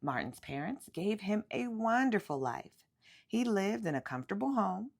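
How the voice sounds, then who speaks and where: Female storyteller for a children's picture book, lively and emphatic, with some vocal fry. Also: mid-range voice. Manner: animated, emphatic storytelling with drawn-out words. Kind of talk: expressive read-aloud of a children's picture book.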